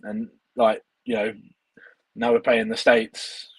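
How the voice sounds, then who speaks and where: A man's voice: lowish voice, completely flat delivery, takes it slowly, breathy edge, no room sound, poor audio. A man guesting on a fan podcast, enthusiastic and repeating his points. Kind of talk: casual chat.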